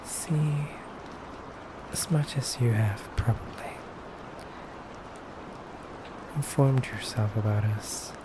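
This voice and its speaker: Male actor as elegant femboy vampire captor, ASMR roleplay: unhurried, hushed, courteous menace, deliberate pauses, audible background noise. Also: mid-range voice, natural voice. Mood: calm, curious. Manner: soft-spoken, slow, deliberate, pausing often.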